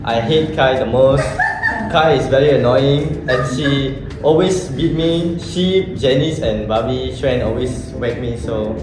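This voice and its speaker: A man's voice: medium pitch, slow pace, background noise. A young man from Malaysia joking about a classmate in a casual, teasing testimonial. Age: young adult. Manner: teasing, laughing complaints. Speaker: trainee graduate. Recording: very echoey room with music.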